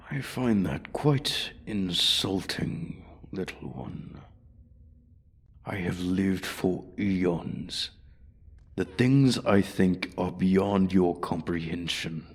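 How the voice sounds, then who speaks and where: A man voicing an ancient, arrogant dragon in a fantasy ASMR roleplay, haughty and deliberate, with a breathy voice that often drops to a whisper. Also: sits low, exaggerated voice. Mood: haughty offence. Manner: haughty, deliberate, coldly threatening. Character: ancient, menacing, arrogant male dragon guarding his hoard. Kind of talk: slow, theatrical fantasy ASMR roleplay monologue.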